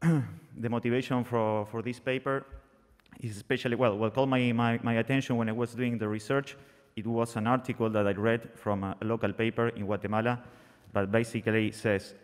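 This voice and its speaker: A young man presenting his research at a conference, halting and earnest, with frequent restarts. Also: lowish voice. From Argentina. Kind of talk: academic talk. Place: conference hall, seated at a panel table, masked. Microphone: desk microphone on a stand. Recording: long reverb of a large hall.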